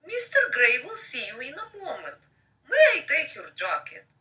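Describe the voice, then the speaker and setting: A woman's voice: high voice, wildly swooping pitch, normal speed, pushed and bright, voice pushed up, heavily acted. A woman voicing an elegant young female office assistant, stern and formally polite, in a story reading. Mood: polite, attentive. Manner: polite, crisp, formal receptionist talk. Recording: distant microphone on live stream.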